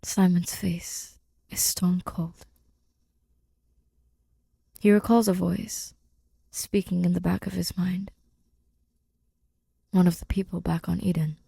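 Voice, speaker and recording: A woman reading fiction as an audiobook narrator, in a hushed, deliberate and dramatic manner. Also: fairly low pitch, little pitch movement, vocal fry, slightly rough, no echo. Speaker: fan fiction podfic reader.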